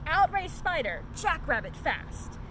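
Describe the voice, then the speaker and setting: A woman hosts story time, reading a rhyming picture book in a sing-song way. Her voice is high and swoops up and down with plenty of expression. The recording has distinct background noise. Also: sing-song, a little slow. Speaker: story time host and reader. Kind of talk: animated read-aloud of a children's picture book.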